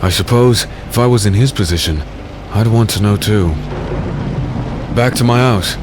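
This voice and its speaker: An actor playing a grim, haunted, secretive warrior in a dark fantasy audio drama, speaking tersely and dramatically. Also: male voice, lowish voice, normal speed, background noise, close to an everyday voice. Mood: brooding. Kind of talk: dark fantasy audio drama, first-person narration and dialogue.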